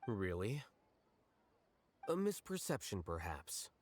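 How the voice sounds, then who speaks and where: An actor playing a coolly analytical young scholar in a video game, speaking in a measured, detached, dry manner. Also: male voice, on the low side, sing-song, a little mellow, slight background noise. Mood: aloof, matter-of-fact.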